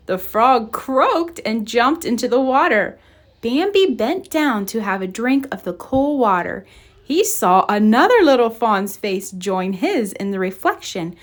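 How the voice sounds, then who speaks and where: Slow, higher-voiced woman reading a storybook. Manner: expressive, deliberate read-aloud. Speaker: storybook reader. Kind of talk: scripted storybook narration for children.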